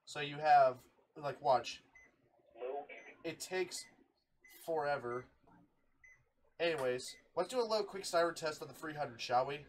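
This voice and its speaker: Higher-voiced man hosting, slight background noise. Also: a little slow, long pauses. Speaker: video host showing a radio collection. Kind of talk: casual hobby showcase with friends.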